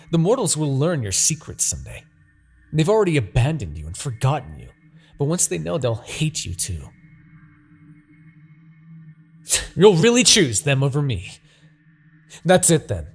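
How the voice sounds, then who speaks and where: Casting: male actor for a villainous demon king, gravelly and wildly swinging in pitch, seductive, taunting, theatrical fantasy roleplay. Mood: furious, scornful. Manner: theatrical, taunting, drawn-out dramatic delivery. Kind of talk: scripted fantasy ASMR roleplay acting.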